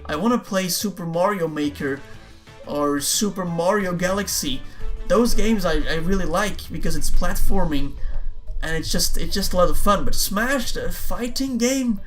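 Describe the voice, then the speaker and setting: A man's voice: sits high, normal speed, fairly bright tone, faint music behind. A young man whose first language is Dutch, answering viewer questions in a casual, rambling way, often repeating words and saying "man". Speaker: YouTuber.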